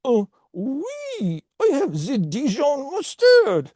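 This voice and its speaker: A man voicing a cheerful, chatty talking potato salad with an exaggerated French accent and an excitable, theatrical manner. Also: very high-pitched, slow pace, no room sound, studio quality, pitched above the performer's own voice, exaggerated voice. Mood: flamboyant greeting.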